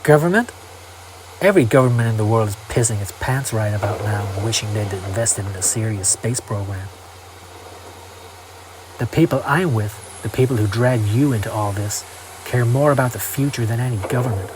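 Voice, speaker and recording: A man's voice: fairly low pitch, moderate pace, background noise, close to an everyday voice. A man voicing an urgent, businesslike recruiting agent in a motion comic, speaking insistently and directly. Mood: emphatic. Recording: music under the voice.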